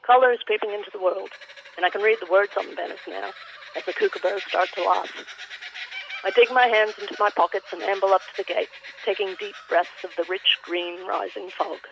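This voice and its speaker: A woman's voice: medium pitch, fairly even intonation, a little fast, creaky. A woman with an Australian accent reading a personal eulogy as vivid literary storytelling. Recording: thin phone-line sound.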